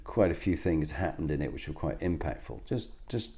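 Man, very low voice, podcast host, encouraging, short affirmations. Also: expressive, normal speed, dark tone. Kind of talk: interview podcast hosting.

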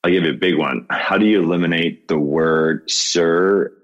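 A man's voice: deep voice, steady tone, moderate pace, muffled recording. A man in his fifties, a podcast guest talking earnestly in casual conversation, laughing often and restarting his sentences. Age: 50s.

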